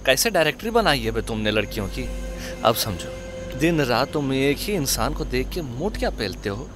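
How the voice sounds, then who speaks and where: A man's voice: mid-range voice, very animated, normal speed, over music, close to an everyday voice. A man playing a crude, lecherous, whiny comic sidekick with earthy slangy banter in a horror-comedy audio drama. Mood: teasing.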